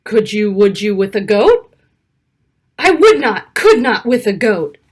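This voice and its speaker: A woman reading a rhyming children's picture book aloud in an expressive, rhythmic way. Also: mid-range voice, unhurried. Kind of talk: animated children's story read-aloud.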